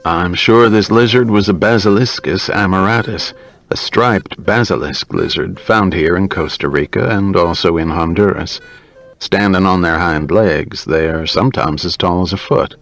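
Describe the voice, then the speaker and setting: Man playing an affable deep-voiced field biologist. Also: moderate pace, gravelly, fairly bright tone. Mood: precise, assured. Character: affable American male field biologist, precise and assured.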